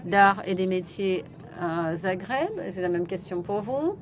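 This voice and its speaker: A woman's voice: medium pitch, lively intonation, measured pace, noisy background. A woman relaying and repeating questions to a remote panel guest as interpreter.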